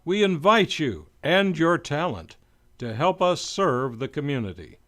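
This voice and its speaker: A man's voice: a little high, very animated, unhurried, a bit bright. A man reading a scripted promotional announcement. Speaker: promo announcer for a community theatre.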